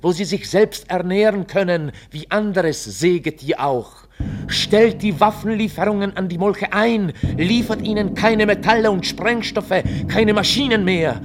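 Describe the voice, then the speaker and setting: An actor playing a formal chronicler, narrating a scripted radio drama in a documentary manner. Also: male voice, high-pitched, a little slow, background noise. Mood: urgent, exhorting. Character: formal chronicler recounting a history.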